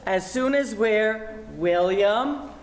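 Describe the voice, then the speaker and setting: A woman's voice: mid-range voice, lively intonation, very slow. Woman playing haughty, swooping, outraged matron. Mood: scolding. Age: older. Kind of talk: 1940s radio comedy acting. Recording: echoey room.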